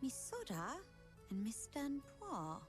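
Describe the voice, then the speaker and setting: A woman playing a poised, polite young lady who speaks formally and precisely, gently strict. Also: a little high, wildly swooping pitch, slow, soft background music, exaggerated voice. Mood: calm.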